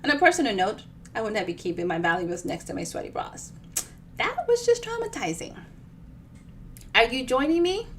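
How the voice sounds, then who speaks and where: A woman giving an expressive storytime reading of their own fiction, with chatty, informal asides. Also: medium pitch, brisk, a little hiss or hum. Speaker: author reading their own novel on a live show.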